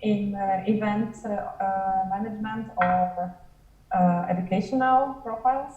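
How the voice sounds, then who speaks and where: A woman's voice: mid-range voice, fairly even intonation, measured pace. A woman moderating a panel, prompting others in an unscripted way. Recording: distant, echoey, dull, faint music.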